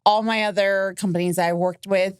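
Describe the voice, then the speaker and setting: A woman's voice: mid-range voice, steady tone, moderate pace, smooth, dry recording, clean studio sound. A woman in her forties chatting casually and candidly as a podcast guest, informal and a little self-deprecating. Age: 40s. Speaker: podcast guest, company accountant. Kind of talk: casual chat.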